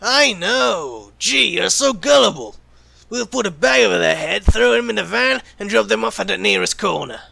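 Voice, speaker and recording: Casting: male actor as sardonic secret agent, high-pitched for a man, heavy vocal fry, flippant and teasing, audio roleplay. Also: expressive, moderate pace, sharp tone, a little hiss or hum, full character voice. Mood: smug, sarcastic. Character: callous, sarcastic male secret agent who jokes about killing. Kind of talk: ASMR roleplay acting.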